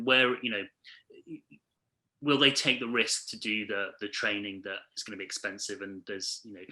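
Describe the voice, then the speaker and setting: A man talks through his thoughts on an informal panel over a video call. His voice sits low but has a very bright, forceful edge. The phrasing is hesitant and stop-start as he works toward each point. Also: poor audio. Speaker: panel speaker, arts editor and book prize judge.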